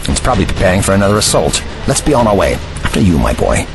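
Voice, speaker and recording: Low male voice, actor as calm wry time-travelling hero, formal and reassuring, very animated intonation, audio drama over music. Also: gravelly, full character voice. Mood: wary, wry. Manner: measured, formal, reassuring phrasing. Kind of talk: fan audio drama acting.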